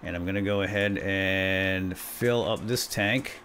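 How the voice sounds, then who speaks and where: A man's voice: deep voice, unhurried, fairly bright tone, a little hiss or hum. A man giving casual let's-play commentary, playfully talking to himself with mild folksy exclamations. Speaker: gaming YouTuber, let's-play host.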